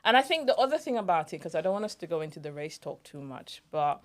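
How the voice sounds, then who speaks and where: Woman on a podcast, lowish voice, reflective storytelling, does the voices of people she remembers. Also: sing-song, fast. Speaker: podcast co-host. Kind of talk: casual storytelling chat.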